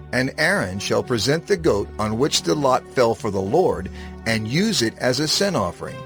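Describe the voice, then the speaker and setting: A man narrating scripture from a script in a measured, formal manner. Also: medium pitch. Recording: prominent music bed under narration. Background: music.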